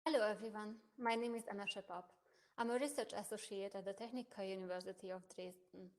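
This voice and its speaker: A young woman whose first language is Hungarian, a research associate presenting a prerecorded conference paper in a measured, careful academic manner. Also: medium pitch, a little mellow. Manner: measured, careful academic delivery with occasional restarts.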